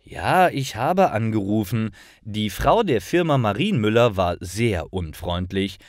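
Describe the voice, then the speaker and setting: A man's voice: on the low side, big pitch swings, measured pace, dry recording, clean studio sound. A man voicing an earnest, upset young woman in a storybook for learners, speaking carefully.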